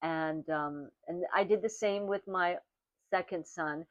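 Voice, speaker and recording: An older woman whose first language is Armenian, giving oral history testimony in a reflective, halting way, often restarting her sentences. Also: lowish voice, dry recording. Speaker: interviewee. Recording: dry, dull lo-fi recording.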